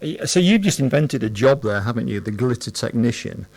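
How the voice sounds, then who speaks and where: Male radio presenter, sixties, mid-pitched, casual and jokey with a rambling, hesitant delivery. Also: animated, a little fast, creaky, a little mellow. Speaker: radio host. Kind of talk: casual radio interview chat.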